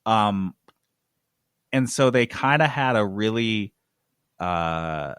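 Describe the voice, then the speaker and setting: A man's voice: lowish voice, animated, slow pace, a bit bright, smooth, dry recording, clean studio sound. A man hosting a gaming podcast, explaining news casually in an emphatic, sarcastic, sweary way. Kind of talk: casual comic explaining of game industry news.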